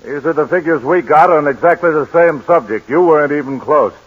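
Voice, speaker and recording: A man's voice: on the high side, a little fast, natural voice. A man playing a smug rival pollster. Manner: smug, lecturing. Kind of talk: 1940s radio acting. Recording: thin phone-like old radio recording.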